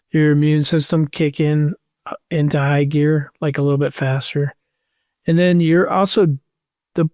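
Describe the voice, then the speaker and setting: A man's voice: on the high side, darkish tone, dry recording, studio quality. A man in his thirties, a podcast co-host, joking and explaining things offhand in casual chat.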